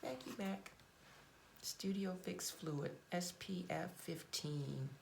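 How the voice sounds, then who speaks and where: A woman chatting casually through a makeup tutorial at home, blunt and chatty, her voice hoarse and cracking. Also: on the low side, takes it slowly, a little mellow, a little hiss or hum. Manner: chatty, blunt, frequent fillers and restarts. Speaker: beauty vlogger.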